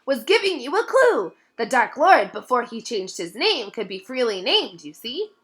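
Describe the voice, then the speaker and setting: A woman voicing a small, excitable male house elf, shrill and devoted, who speaks of himself in the third person with emphasis. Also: normal speed. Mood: eager.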